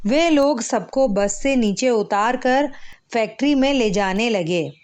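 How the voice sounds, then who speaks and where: A woman storyteller reading a scripted children's moral tale, measured and phrase by phrase. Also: fairly high pitch, animated, darkish tone.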